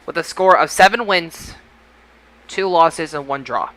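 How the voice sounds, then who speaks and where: Man, high pitch, bright tone, podcast host, announcer-like delivery, casual results show. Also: a little slow, rough recording.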